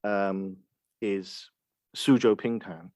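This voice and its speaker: Male scholar interviewed on a podcast, slightly deep, dry recording, hesitant explanatory delivery. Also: steady tone, very slow, a little mellow, no room sound. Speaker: podcast guest, Chinese literature expert.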